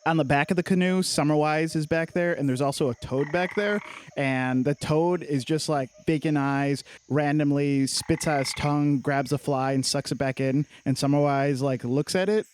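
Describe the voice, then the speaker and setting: A man's voice: on the high side, fairly even intonation, normal speed, darkish tone, a little hiss or hum. A man chatting casually as a player in a tabletop game, describing things in an informal, conversational way. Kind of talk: casual table talk and storytelling.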